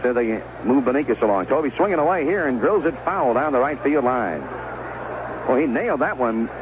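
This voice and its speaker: Animated baseball announcer on noisy old radio, male. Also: medium pitch. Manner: conversational, descriptive, clipped short phrases. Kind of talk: live baseball play-by-play commentary. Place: stadium broadcast booth. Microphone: broadcast microphone with headset earphone monitoring. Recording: noisy, dull 1970s AM radio broadcast.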